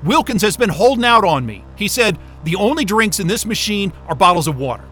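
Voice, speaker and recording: An actor playing an excitable, sarcastic, foul-mouthed astrophysicist in a naturalistic audio drama. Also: male voice, high voice, brisk, faint music behind, full character voice. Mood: exasperated.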